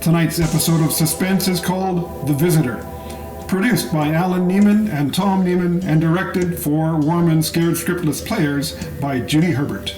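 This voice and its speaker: A man's voice: on the high side, moderate pace. A man announcing a scripted introduction in the style of an old-time radio show. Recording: roomy echo, prominent music behind. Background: music.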